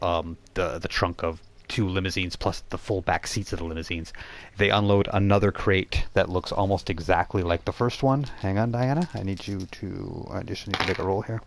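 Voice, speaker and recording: A man narrating a tabletop role-playing game as game master, conversational and halting, often repeating phrases as he improvises. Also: deep voice. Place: live online game stream.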